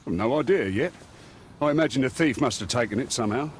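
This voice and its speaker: An actor playing a confident, skeptical Victorian police inspector in a video game, British, explaining things in an assured way. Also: male voice, mid-range voice, lively intonation, fast talker, a little hiss or hum, close to an everyday voice. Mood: amused, skeptical. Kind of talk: scripted video game acting.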